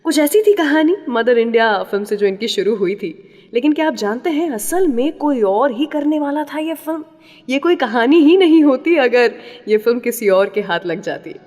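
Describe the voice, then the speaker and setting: A woman's voice: fairly high pitch, animated, normal speed, a little mellow. An Indian woman hosting a radio show, telling scripted stories and introducing songs. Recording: long reverb, music behind. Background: music.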